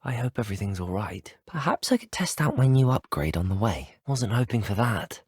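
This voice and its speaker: A young British student wizard, the courteous hero of a video game. The voice is low and lively in pitch, with a slightly husky grain. The delivery is earnest, formal and well-spoken. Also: male voice, fairly quick, no room sound, clean studio sound, full character voice. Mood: pleased, musing. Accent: British English. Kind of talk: scripted video game dialogue lines.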